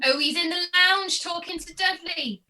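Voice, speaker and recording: A woman's voice: very high voice, normal speed, pushed and bright, full character voice. A woman playing a cheeky, teasing barmaid in a radio comedy. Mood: brisk, friendly. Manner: teasing, giggly. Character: cheeky pub barmaid, quick with innuendo. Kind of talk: radio comedy play reading.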